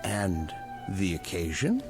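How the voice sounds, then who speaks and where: Funereal florist: unhurried, dramatically swooping delivery, solemn courtesy, vintage TV drama. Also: male voice, low voice, over music, heavily acted. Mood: solemn, inquiring. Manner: solemn, deadpan, overly courteous. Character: lugubrious, formal florist with funereal gloom. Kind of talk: vintage television drama acting.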